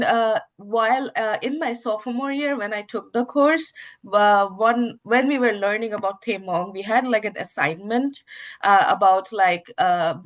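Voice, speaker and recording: A young woman from Bangladesh whose first language is Bangla, answering interview questions earnestly with many pauses and fillers. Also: medium pitch, low-quality recording. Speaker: guest, research award winner. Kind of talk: casual interview talk.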